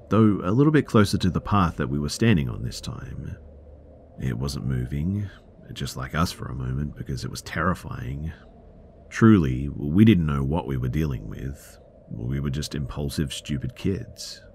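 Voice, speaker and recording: A man with an extremely deep voice narrates a scary story in a slow, hushed manner, sometimes sinking to a whisper. A faint hiss sits under his voice. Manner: hushed, drawn-out dramatic delivery with whispered words. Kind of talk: scripted horror story narration.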